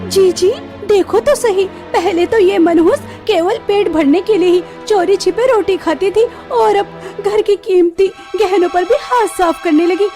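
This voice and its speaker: Woman acting a shrill spiteful scolding aunt. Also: a little slow, few pauses, mellow, faint music behind. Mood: accusing. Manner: accusing, scornful. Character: spiteful scolding aunt, cruel household bully. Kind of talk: melodramatic moral-story cartoon acting.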